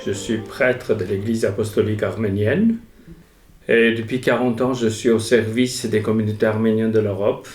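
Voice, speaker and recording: A middle-aged man, a priest answering interview questions in a measured, reflective way. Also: lowish voice, steady tone, a little mellow, reverberant, soft background music. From Armenia.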